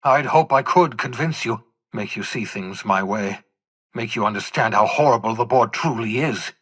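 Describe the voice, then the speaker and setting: A man playing an eccentric, obsessive older fugitive scientist in a video game, raspy and theatrical, with emphatic, articulate phrasing and bitter self-reproach. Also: mid-range voice, moderate pace, fairly bright tone. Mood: resigned. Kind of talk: dramatic video game dialogue.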